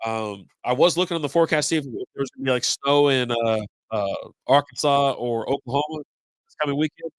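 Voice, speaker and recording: A middle-aged man with a congested, slightly raspy voice hosts a casual live stream. He rambles loosely and cheerfully, with the odd swear word thrown in. His voice sits at an ordinary pitch, recorded close and dry. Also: fairly quick, dry recording, poor audio. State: congested from a cold. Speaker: host of a beer podcast live stream.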